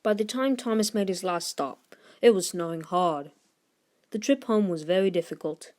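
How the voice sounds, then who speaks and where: A woman reads a children's story aloud in an expressive scripted narration style. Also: on the low side, moderate pace. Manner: expressive, lifts voice for character dialogue. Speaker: storybook reader.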